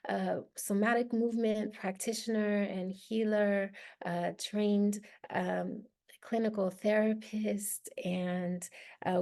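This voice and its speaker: Gravelly-voiced woman hosting, hesitant. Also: mid-range voice, darkish tone. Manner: hesitant, many fillers. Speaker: event host introducing the speaker.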